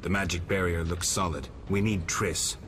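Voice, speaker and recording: Man playing stoic monster hunter, very low pitch, terse, unhurried, gruff, fantasy video game. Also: fairly even intonation, background noise, lightly acted. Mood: serious, terse. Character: stoic gruff adult male monster-hunting swordsman.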